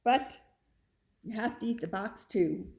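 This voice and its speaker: Woman as a fretful father goat, mellow. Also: medium pitch, unhurried, natural voice. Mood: encouraging. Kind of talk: children's storybook read-aloud.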